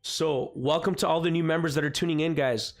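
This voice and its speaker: A man with a slightly raspy voice from the cold hosting a live trading stream, chatting casually and filling gaps with "guys" and "you know what I mean". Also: on the high side, steady tone, a little fast. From Canada. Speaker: forex trading livestream host. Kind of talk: live market commentary. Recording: faint music behind.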